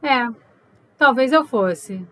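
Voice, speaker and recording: A woman's voice: sits high, animated, extremely slow, sharp tone, heavily acted. A woman playing a teasing, inquisitive, unsentimental girlfriend in an audio drama, probing and playful.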